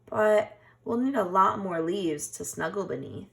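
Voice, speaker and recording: A woman's voice: medium pitch, lively intonation, unhurried, gravelly. A woman voicing an anxious, eager little male hedgehog for a children's storybook. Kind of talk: children's storybook read-aloud.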